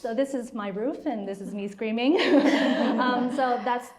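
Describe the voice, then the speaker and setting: A woman's voice: on the high side, unhurried, a crowd in the background. A woman moderating a live panel discussion, chatty and laughing often, with a self-deprecating manner. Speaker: panel moderator and studio founder.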